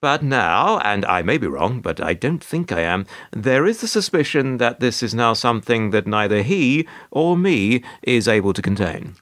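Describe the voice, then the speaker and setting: A man's voice: mid-range voice, sing-song, moderate pace, hardly any pauses, a bit bright. A British man playing a weary middle-aged narrator in a radio play, dry, hesitant and sardonic. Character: wry, weary older male narrator, reluctant bystander.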